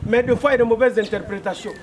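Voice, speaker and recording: High-voiced Gabonese man, formal and polite. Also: racing, very noisy. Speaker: spokesman for a visiting wedding delegation. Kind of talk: formal ceremonial speech. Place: outdoor courtyard.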